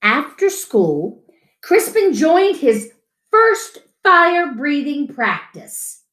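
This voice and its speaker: Animated, high-voiced woman storyteller. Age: middle-aged. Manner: animated, dramatic, with deliberate pauses. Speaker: children's storyteller. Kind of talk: expressive picture-book reading. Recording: roomy home echo.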